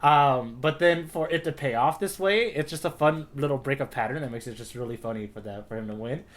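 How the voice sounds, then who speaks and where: A man's voice: medium pitch, fairly quick. A man talking informally and casually with slang as a podcast co-host. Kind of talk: casual chat.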